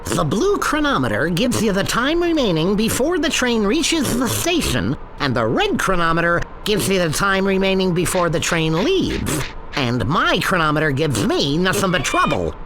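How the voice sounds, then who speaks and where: A man voicing a zany, excitable cartoon duck who hosts a game show with a showy announcer's delivery in a video game. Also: high-pitched, moderate pace, background noise. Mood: instructive.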